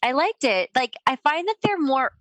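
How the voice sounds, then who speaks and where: A woman's voice: sits high, sing-song, normal speed, a bit bright, dry recording. A young adult woman co-hosting a podcast, with a stuffed-up, sniffly nose and a rambling, filler-heavy way of talking. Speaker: podcast co-host. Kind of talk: casual chat.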